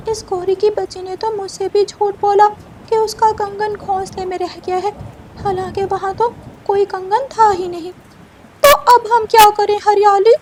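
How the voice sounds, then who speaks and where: Woman doing a squeaky, fretful little cartoon parrot. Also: slight background noise, poor audio. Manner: plaintive, fretful. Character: naive, worried married parrot couple member, small bird. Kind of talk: children's cartoon voice acting.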